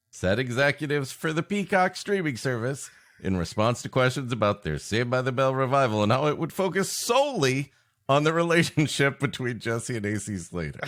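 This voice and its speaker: A man's voice: medium pitch, fairly bright tone. A man co-hosting a comedic livestream podcast in casual chat, with a wry, profane, mock-grandiose way of talking. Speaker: podcast co-host.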